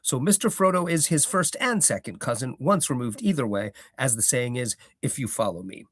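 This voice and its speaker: A man's voice: mid-range voice, expressive, normal speed, husky, no room sound, studio quality. A man voicing a garrulous old male hobbit gardener who rambles in a folksy, opinionated way. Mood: confiding.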